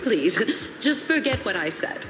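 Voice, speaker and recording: A woman playing a courteous, helpful flower shopkeeper in a video game, speaking in a polite, gentle, explanatory way. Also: mid-range voice, very animated, a little slow, slight background noise. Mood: polite, cautious. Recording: roomy sound with clear music behind.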